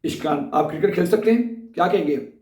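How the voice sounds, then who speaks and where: A hoarse man with a sore throat gives a casual beginner language lesson, talking in a slightly raised register. He keeps pausing to check that his viewers are following along. His voice sits back from the mic in a roomy, echoing space. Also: fairly high pitch, darkish tone, distant mic. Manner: chatty, repeats "ठीक है?" to check in with viewers. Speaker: German teacher.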